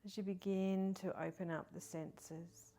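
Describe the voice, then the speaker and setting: A woman leading a guided meditation in a soft, breathy, partly whispery voice, soothing and gentle with long pauses. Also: mid-range voice, flat delivery, slight background noise. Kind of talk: guided body scan meditation.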